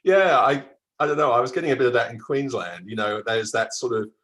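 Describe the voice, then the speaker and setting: A man's voice: medium pitch, a little fast, fairly bright tone. A man from Melbourne with a mild Australian accent, telling travel stories conversationally as an interview guest. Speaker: travel writer being interviewed.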